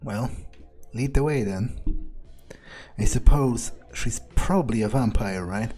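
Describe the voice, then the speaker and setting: A man's voice: medium pitch, slow, mellow, slightly rough. A man roleplaying his character in a game playthrough, thinking aloud in a laconic, offhand, musing way.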